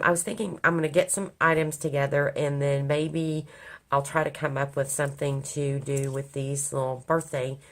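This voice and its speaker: Very deep-voiced raspy woman crafter. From Kentucky. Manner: rambling, many ums. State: hoarse from a cold. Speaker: craft vlogger. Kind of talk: casual crafting vlog. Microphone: overhead camera on a craft table.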